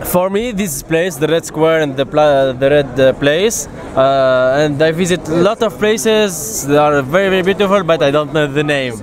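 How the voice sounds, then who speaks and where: A man answering a street interviewer in slow, hesitant English full of pauses and fillers. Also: a little high. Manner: hesitant, halting non-native English. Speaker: tourist interviewee. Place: busy city street outdoors. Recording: loud crowd outdoors.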